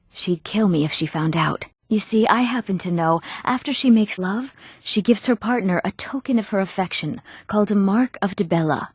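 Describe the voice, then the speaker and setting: A woman playing an eager, earnest young townswoman in a fantasy video game, with expressive, clearly enunciated delivery. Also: medium pitch, no room sound, clean studio sound, natural voice. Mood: scheming, spiteful. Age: young adult. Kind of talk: scripted fantasy game character dialogue.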